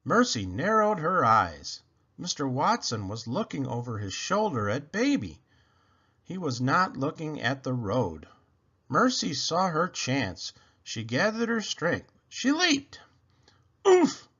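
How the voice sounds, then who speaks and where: Very animated male storybook reader. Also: fairly high pitch, slow, husky, highs cut off. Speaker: read-aloud narrator. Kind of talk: expressive children's storybook narration.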